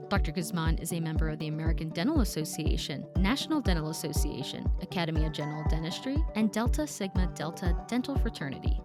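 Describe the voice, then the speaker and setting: A woman hosting a podcast, reading a formal guest introduction and then asking questions in an upbeat, friendly way. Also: on the low side. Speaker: podcast host. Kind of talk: scripted intro then casual interview.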